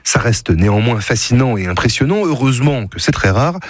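A man's voice: medium pitch, big pitch swings, brisk, no echo. A man giving a scripted radio chronicle who explains things with a wry, dry sense of humor. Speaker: radio columnist.

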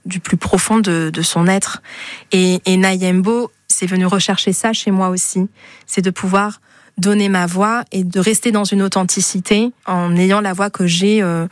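A woman's voice: medium pitch, no echo. Female musician interviewee, mid-range voice, thoughtful and searching for words, dry close recording. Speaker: singer-songwriter being featured. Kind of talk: spontaneous interview talk about own music.

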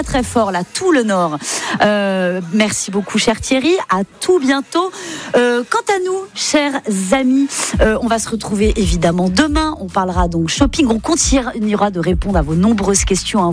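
A woman's voice: mid-range voice, expressive, faint music behind. A woman hosting a live radio call-in show from a studio, effusive and affectionate with guests and listeners. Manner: effusive, affectionate, quick to laugh. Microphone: studio microphone.

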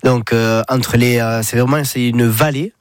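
Guy in his 30s, southern french accent, halting chatty answers, dry close sound. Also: medium pitch, fairly even intonation, no echo. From Pyrénées-Orientales, France. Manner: hesitant, many fillers and restarts. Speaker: guest, espadrille brand founder. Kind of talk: casual interview.

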